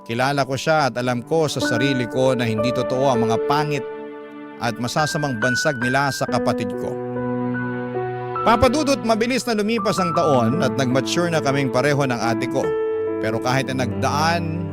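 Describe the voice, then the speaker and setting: A man's voice: medium pitch, normal speed. A man narrating a personal letter as a radio storyteller, in a dramatic scripted style. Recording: loud background music.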